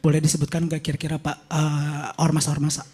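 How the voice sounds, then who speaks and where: A man's voice: on the high side, monotone, a little fast, warm tone, husky. A man asks questions as a reporter at a press conference, politely and tentatively, correcting himself.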